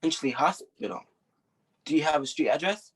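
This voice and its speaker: An actress playing a formal, impersonal telephone information operator with a husky voice, in a 1940s radio drama style. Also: female voice, deep voice, moderate pace, low-quality recording.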